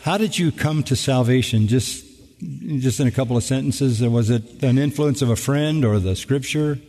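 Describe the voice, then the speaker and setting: An older man, a pastor answering questions from the congregation in a measured, reflective way with touches of humor. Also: mid-range voice, warm tone. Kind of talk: live Q&A answers. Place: large church auditorium. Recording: long reverb of a large hall.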